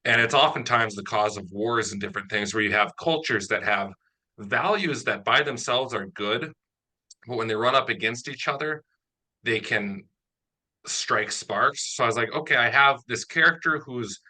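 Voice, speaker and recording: A man's voice: medium pitch, moderate pace, bright, forceful tone, poor audio. A man speaking conversationally as an interviewer and writer, explaining his ideas in an analytical way. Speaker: interviewer, audio drama writer.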